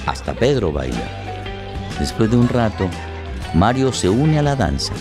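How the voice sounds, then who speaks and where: A man narrates a radio drama in a fairly low voice. His scripted, dramatic delivery sits over clear guitar music. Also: sing-song, measured pace.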